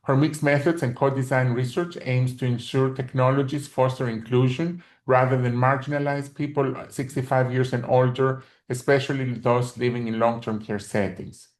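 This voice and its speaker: A man's voice: medium pitch, little pitch movement, moderate pace, few pauses, roomy sound. A man hosting a webinar, reading a formal introduction of the speaker and giving the audience housekeeping notes. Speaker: webinar host.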